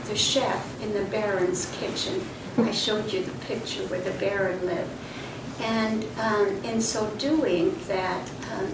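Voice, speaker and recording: Older woman recalling memories in a roomy, noisy recording. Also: mid-range voice. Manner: reflective storytelling with fillers and restarts. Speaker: interviewee. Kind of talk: oral history interview. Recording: roomy, distant mic, loud noise.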